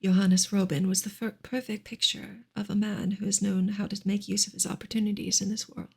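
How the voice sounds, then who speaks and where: Soft, husky woman reading in ASMR style. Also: medium pitch, little pitch movement, brisk. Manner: soft, hushed, occasionally slipping into whisper. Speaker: reader on an ASMR channel.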